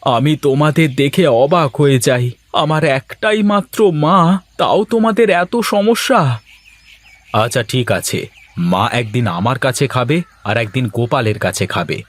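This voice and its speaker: A fed-up village family man from a cartoon, played by a male actor. He talks in an earnest, measured, plaintive way, like a son worn down by quarrels at home. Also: medium pitch, sing-song. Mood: exasperated. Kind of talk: Bengali cartoon dialogue acting.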